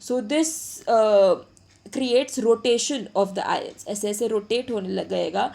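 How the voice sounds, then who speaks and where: A woman's voice: on the high side, extremely slow, gravelly, warm tone. A woman with an Indian accent giving an informal step-by-step lecture, switching constantly between Hindi and English and repeating her points. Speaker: physiotherapy teacher.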